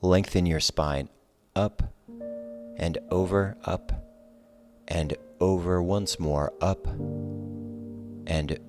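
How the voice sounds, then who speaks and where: A man teaching a guided relaxation yoga class, giving calm, soothing, measured cues over soft music. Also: deep voice. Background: soft guitar music.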